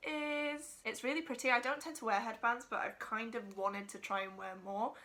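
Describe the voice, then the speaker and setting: Bright-voiced Welsh woman gushing over books. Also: on the high side, steady tone, normal speed. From Wales. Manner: gushing and chatty, slips into rants. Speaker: book vlogger. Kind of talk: casual unboxing chat.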